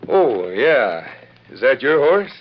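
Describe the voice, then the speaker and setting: An actor playing a vengeful, excitable frontier deputy with a rustic drawl in a 1940s radio western. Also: male voice, mid-range voice, slow pace, slight background noise. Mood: suspicious, excited. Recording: dull old radio broadcast.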